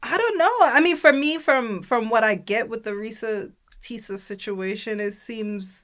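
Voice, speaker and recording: A woman's voice: on the high side, normal speed, a bit bright. A young woman co-hosting a podcast, telling a story in casual chat and acting out the people she quotes. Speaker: podcast co-host.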